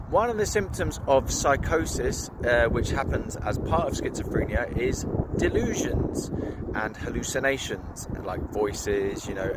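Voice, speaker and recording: A man's voice: fairly low pitch, heavy background noise. A young man casually telling a story to camera, rambling and informal. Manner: rambling, informal, with fillers and laughs. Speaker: vlogger.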